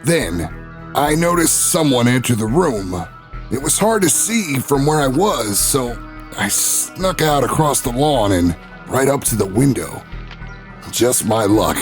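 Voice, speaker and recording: A brash talk show host telling an investigative tale in an audio drama over prominent music. His delivery is slow and very animated, folksy and full of swagger, with dramatic pauses. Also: medium pitch. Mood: conspiratorial. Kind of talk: scripted first-person narration in an audio drama.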